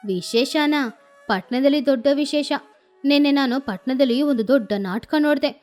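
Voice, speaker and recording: A woman voicing a cheerful, chatty grown-up pigeon aunt in a cartoon story, telling things with lively excitement. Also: sits high, moderate pace, faint music behind, lightly acted. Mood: excited.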